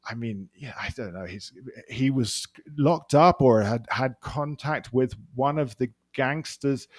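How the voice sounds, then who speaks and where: A man's voice: medium pitch, darkish tone, dry recording, studio quality. A man with a London accent chatting as a podcast guest, rambling with frequent restarts and fillers. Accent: London English. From England. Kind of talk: casual interview chat.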